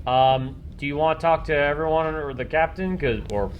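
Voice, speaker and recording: A man's voice: on the high side, muffled recording. Man running a tabletop game, noisy room. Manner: halting, informal, conversational. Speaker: game master. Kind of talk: narrating a tabletop role-playing game.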